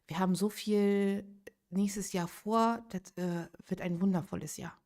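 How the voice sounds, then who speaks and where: A woman's voice: mid-range voice, steady tone, measured pace, darkish tone. A woman in her late thirties chatting casually on a live game stream, thinking aloud and answering viewers' chat. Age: 30s.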